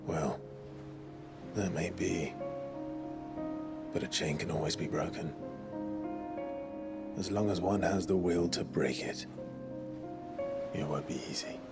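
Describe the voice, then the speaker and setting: A man's voice: sits low, slow, vocal fry, long pauses, heavily acted. A man playing a weary, resolute warrior and sworn protector in a cinematic game, speaking earnestly and with restraint. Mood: quiet resolve. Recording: orchestral music behind the voice.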